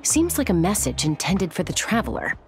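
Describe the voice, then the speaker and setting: A composed young woman adventurer from a video game, practical and direct. Her voice is on the low side with expressive inflection, and music plays behind it. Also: a little fast. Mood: practical, inquiring. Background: background music.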